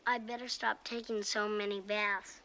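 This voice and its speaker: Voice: medium pitch, little pitch movement, normal speed, pushed and bright, slight background noise, low-quality recording. A child actor playing a curious, earnest young boy in scripted television family dialogue, plainspoken and inquisitive. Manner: inquisitive, plainspoken, matter-of-fact.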